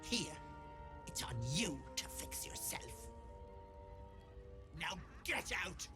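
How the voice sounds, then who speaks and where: A woman's voice: on the low side, expressive, takes it slowly. An actress playing a cruel, wheedling old hag in a video game, folksy and scolding, with menace in her endearments. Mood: cold, spiteful. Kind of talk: video game character acting. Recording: game music behind the voice.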